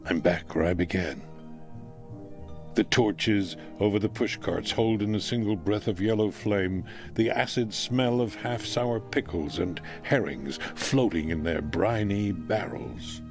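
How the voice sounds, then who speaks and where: A man's voice: on the low side, measured pace. A man reading a literary memoir aloud as scripted narration. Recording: music under the voice.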